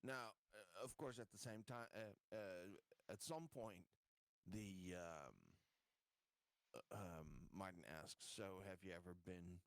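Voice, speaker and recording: A man with a low voice improvises casually in a tabletop roleplaying session. His delivery is halting, with searching pauses. The sound is dry and close. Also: no echo. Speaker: game master or player in a tabletop roleplaying game.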